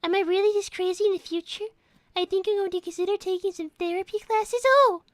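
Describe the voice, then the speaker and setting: A woman's voice: very high-pitched, fairly quick, dry recording. A woman voicing a young girl rabbit, a prim, indignant schemer who speaks politely and formally in improvised cartoon roleplay. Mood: prim, curious. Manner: polite, prissy, formal wording.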